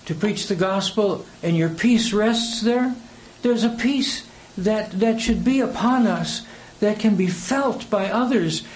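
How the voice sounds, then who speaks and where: A man's voice: sits high, takes it slowly, few pauses, low-quality recording. An older man with an American accent giving a conversational sermon, rambling and hesitant as he tells personal anecdotes. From United States. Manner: hesitant, rambling, chuckles between phrases. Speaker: preacher. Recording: clear background noise.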